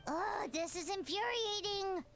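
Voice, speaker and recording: A woman voicing a tiny, excitable, childlike fairy companion in a video game, chirpy and quick to exclaim. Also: extremely high pitch. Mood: exasperated. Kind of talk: English video game voice acting. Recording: game music under the voice. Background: game music.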